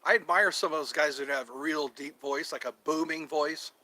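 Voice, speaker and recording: A man's voice: on the high side, normal speed, bright, forceful tone, dry recording. A middle-aged man chatting casually on his vlog, rambling and joking, trying out voices and impressions. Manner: rambling, jokey, tries out voices. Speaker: vlogger.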